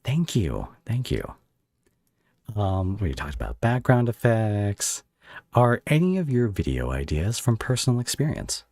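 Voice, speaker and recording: A man with a very low voice hosts a relaxed podcast interview. His pitch moves around a lot as he rambles playfully through his questions and jokes. The sound is dry and close to the microphone. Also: unhurried, no room sound. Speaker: voice actor. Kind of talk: casual, joking interview chat. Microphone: close microphone on an online call.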